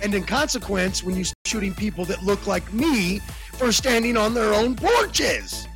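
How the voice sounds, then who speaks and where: An American man hosting a casual podcast chat, animated and slangy, with a deep voice that jumps in pitch for emphasis. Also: measured pace, faint music behind. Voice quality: deep bassy voice. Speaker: podcast host.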